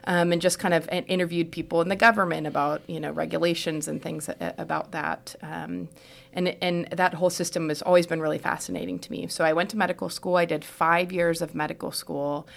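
A woman's voice: fairly low pitch, little pitch movement, brisk, creaky. A middle-aged American woman from Houston telling her own story as a podcast guest in a reflective, explanatory, conversational way. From Houston, United States. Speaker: podcast guest, trauma surgeon. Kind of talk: conversational personal narrative in an interview.

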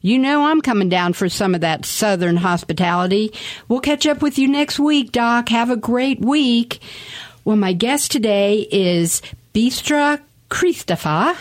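A woman's voice: a little high, animated, unhurried. A woman radio host speaking in a friendly, conversational way as she introduces and questions her guest. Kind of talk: interview and radio chat.

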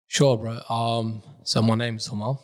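A young man born in Iraq, a religious reciter, telling his life story in a relaxed, casual podcast chat, dropping Arabic phrases as he talks. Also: medium pitch, unhurried. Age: young adult.

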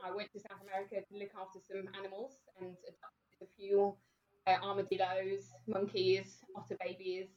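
Danish lady on a podcast, laughs a lot, says um a ton, middle pitch, a bit muffled. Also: completely flat delivery, brisk. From Denmark. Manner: chatty, laughs often, many ums. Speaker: podcast guest. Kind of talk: casual conversation. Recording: dull lo-fi sound.

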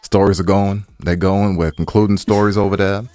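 A man's voice: low-pitched, flat delivery, brisk, warm tone, dry recording. A man co-hosting a podcast in casual, joking banter, playful and repeating phrases for emphasis. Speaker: podcast co-host.

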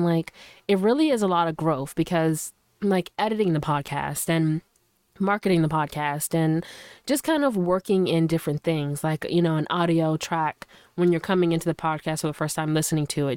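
A woman talks into a close microphone on her podcast, her voice a little hoarse from a lingering cold. She sounds smooth and full-toned in a dry room, lowish for a woman. She rambles in a casual, filler-heavy way and sounds earnest and encouraging. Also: darkish tone, no echo. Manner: loose and chatty, full of fillers. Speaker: podcast host. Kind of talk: casual solo podcast talk. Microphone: studio microphone.

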